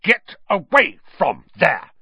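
A man's voice: fairly high pitch, wildly swooping pitch, slow, vocal fry, pushed and bright, breathy edge, dry recording, voice pushed up, full character voice. A man voicing a pompous, bossy teenage school prefect, fussy and scolding, in a storybook reading. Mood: shocked. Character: pompous, scandalised older-brother school prefect.

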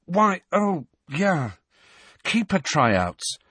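A man's voice: sits high, sing-song, drawn-out words, fairly bright tone, breathy edge, no room sound, voice pushed up, exaggerated voice. A man voicing a weary, glum teenage boy wizard who talks with dry sarcasm.

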